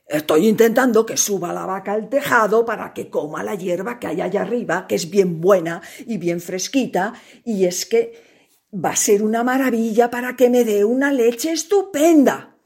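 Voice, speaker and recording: A woman's voice: mid-range voice, moderate pace, a little mellow, slightly rough, pitched above the performer's own voice. A woman as a cheerful stubborn farm woman. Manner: matter-of-fact, unbothered.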